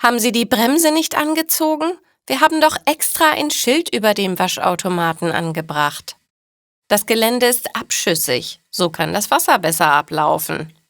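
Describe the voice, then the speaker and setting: A woman's voice: medium pitch, lively intonation, normal speed, a bit bright, dry recording, clean studio sound, pitched above the performer's own voice. A woman voicing a practical, helpful male car mechanic in a storybook, in emphatic, explanatory dialogue. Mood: reproachful.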